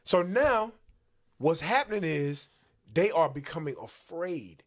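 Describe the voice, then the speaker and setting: Guy in his 30s hosting a bible show, lively voice jumping all over, studio mic. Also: a little high, unhurried. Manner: animated, preacherly, calls for verses. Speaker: host and Bible teacher. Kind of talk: religious commentary show. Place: small podcast studio. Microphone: boom-arm studio mic.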